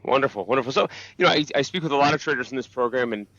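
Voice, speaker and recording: A man's voice: medium pitch, lively intonation, rapid-fire, a bit bright, no room sound, highs cut off. A man hosting a live show, asking questions in a friendly, upbeat way. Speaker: host. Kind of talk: live interview.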